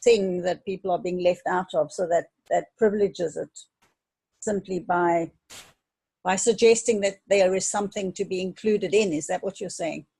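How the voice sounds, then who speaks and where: A woman's voice: on the low side, moderate pace, vocal fry, warm tone, husky. A woman leading a workshop discussion who asks inquisitive follow-up questions.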